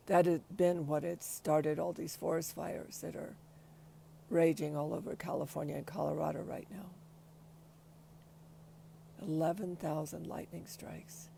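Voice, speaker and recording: A middle-aged woman with a raspy voice, speaking hesitantly on her livestream with many restarts and pauses, sometimes short of breath. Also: low-pitched, warm tone, a little hiss or hum. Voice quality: raspy after covid. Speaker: livestream host. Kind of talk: casual spoken reflection.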